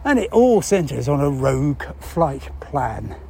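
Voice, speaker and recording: A man explains a news story in unscripted, halting talk, thinking aloud and posing rhetorical questions. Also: medium pitch, very animated, warm tone. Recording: clear background noise.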